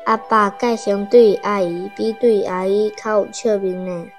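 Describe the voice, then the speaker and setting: An actress with a Taiwanese accent playing an innocent, contented young child in a radio drama. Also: female voice, medium pitch, steady tone, measured pace, soft background music, close to an everyday voice.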